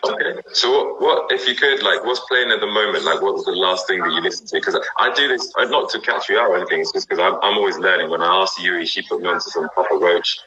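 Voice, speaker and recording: A man's voice: sits low, fast talker, few pauses, sharp tone. A man hosting a live interview chat, upbeat and encouraging, often repeating his phrases. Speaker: host. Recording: dull lo-fi video call audio.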